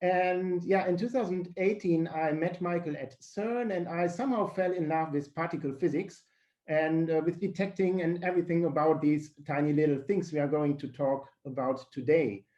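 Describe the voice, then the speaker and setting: German-accented man, mellow teaching voice. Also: a little high, fairly even intonation, dull audio. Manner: earnest, slightly halting non-native phrasing. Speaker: guest presenter and teacher. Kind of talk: explaining physics over video call. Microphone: video call.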